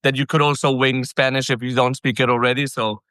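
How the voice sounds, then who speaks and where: A man hosting a podcast about business, talking in an energetic, chatty way with frequent self-corrections. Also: mid-range voice, fairly quick, clear, resonant tone, no echo. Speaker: podcast host. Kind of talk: casual podcast interview.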